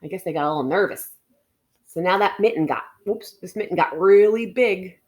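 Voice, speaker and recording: A woman reading a picture book aloud to children in an expressive way, stretching words for emphasis and adding chatty asides and questions to the listeners. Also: fairly low pitch, fairly quick.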